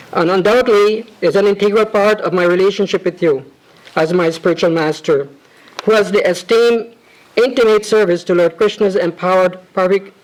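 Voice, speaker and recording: Man, high-voiced, formal devotional tribute reading, ornate and measured. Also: poor audio. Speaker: disciple reading a homage.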